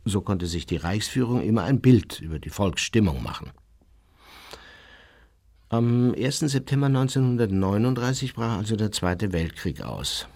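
A man's voice: low voice, a little mellow. An actor playing an older, unrepentant Nazi war criminal, smug and matter-of-fact as he recounts his memoirs in a radio drama. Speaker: narrator of his own memoirs. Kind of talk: radio drama monologue, reminiscing.

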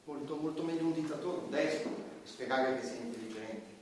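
An actor playing a defiant, impassioned comedian on trial, arguing in an emphatic, provocative and pleading theatrical manner. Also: male voice, fairly high pitch, fairly quick, a little mellow, close to an everyday voice. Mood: bitter, insistent. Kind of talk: theatrical stage monologue and courtroom argument. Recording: very echoey stage, distant mic, background noise.

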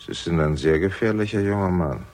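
An actor playing a composed, menacing older father in a German radio crime drama, speaking formally and deliberately with a gravelly, husky voice. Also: sits low, a little mellow, lightly acted. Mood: polite, guarded.

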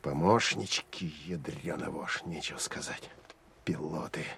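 An actor playing a hardened, sardonic counterintelligence officer who tells of an armed pursuit in an intense, expressive first-person audio drama. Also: male voice, very deep voice, measured pace, vocal fry, slight background noise, heavily acted. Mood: wry, muttering. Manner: intense, expressive storytelling with wry asides.

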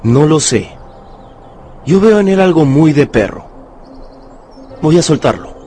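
A man's voice: on the high side, big pitch swings, measured pace, dark tone, a little hiss or hum. A man playing a principled, kind but firm miner in a radio drama, earnest and commanding. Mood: compassionate, resolute. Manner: earnest, commanding yet compassionate.

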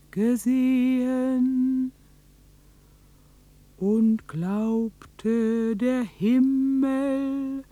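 A high-pitched old countrywoman in a radio play, stubborn and curt. The recording is close and dry, and she insists on her rights with fierce defiance. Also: warm tone, no echo. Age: older. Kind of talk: German radio drama acting.

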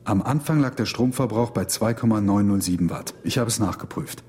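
An actor playing a thoughtful, concerned scientist in a radio drama, earnest and precise in speech. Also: male voice, fairly low pitch, a little fast, a little mellow, close to an everyday voice. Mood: puzzled, concerned.

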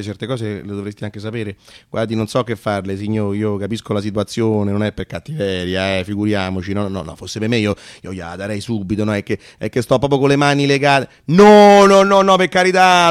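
A man's voice: mid-range voice, a bit bright, clear, resonant tone, dry recording, studio quality, close to an everyday voice. A man playing a petty, exasperated Church clerk in a radio monologue, stammering, repetitive, officious and mock-apologetic. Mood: sarcastic, dismissive. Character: petty, exasperated male Church bureaucrat, brushing petitioners off. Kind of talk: satirical solo radio theatre monologue.

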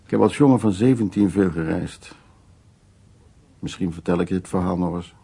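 Husky, worldly man in radio drama. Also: low voice, normal speed, mellow, exaggerated voice. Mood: intimate musing. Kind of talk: naturalistic radio drama dialogue.

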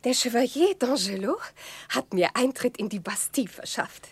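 Radio play: gushing society countess, higher-pitched, husky-breathy, very animated, theatrical. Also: female voice, moderate pace, dry recording, heavily acted. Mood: affected, playful. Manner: affected, theatrical society chatter. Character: frivolous, gushing young French countess hungry for sensation. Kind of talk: German radio play acting.

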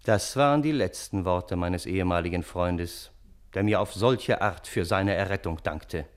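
Low, wry gentleman narrator. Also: unhurried. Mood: wry, measured. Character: wry, long-suffering gentleman narrator, courteous and ironic. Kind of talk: scripted radio play narration. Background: balalaika music.

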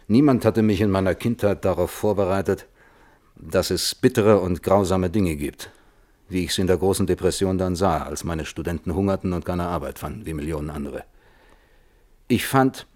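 Male actor as fifty-year-old physicist, low voice, weary, reflective, precise, radio drama testimony. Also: darkish tone, close to an everyday voice. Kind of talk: German radio play, hearing-room testimony.

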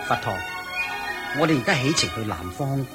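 An actor playing an eager, respectful young disciple monk who asks deferential questions in Hong Kong Cantonese, in radio drama style. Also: male voice, medium pitch, lively intonation, a little fast, loud music behind the voice, lightly acted. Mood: eager, curious. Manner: deferential, inquisitive. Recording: faint background noise.

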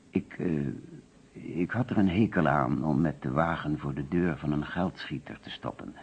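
Deep-voiced, anxious Dutch businessman, muffled recording. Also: breathy edge, exaggerated voice. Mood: measured, recollecting. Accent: Netherlands Dutch. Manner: hesitant, muttering to himself. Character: anxious middle-class businessman recounting finding a murdered man. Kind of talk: radio play acting with first-person narration. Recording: muffled, noisy old radio play recording.

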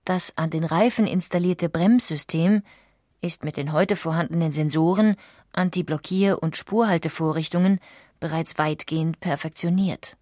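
Lower-pitched female documentary narrator. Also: moderate pace, dark tone, dry recording, studio quality.

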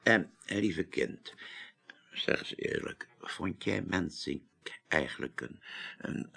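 Old presiding official in a radio drama, low husky voice, courtly and wry, slow. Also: male voice, animated, pushed and bright, full character voice. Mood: musing, confidential. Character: genial, dignified older male presiding official, musing slowly. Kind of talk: 1980s Dutch radio play acting.

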